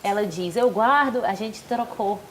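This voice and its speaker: A woman hosting a studio talk show, introducing guests with gushing, effusive praise and quick chatty asides. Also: mid-range voice, slight background noise.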